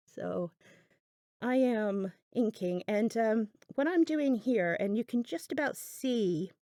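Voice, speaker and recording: A woman's voice: mid-range voice, mellow, no echo. Woman chatting through a craft voiceover, dry sound. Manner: chatty, thinking aloud. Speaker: craft channel host. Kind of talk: casual craft tutorial voiceover.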